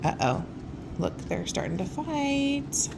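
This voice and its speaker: A woman reading a children's story aloud in a casual, expressive way, with conversational asides. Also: high-pitched, slow pace, gravelly, noisy background. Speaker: storytime reader.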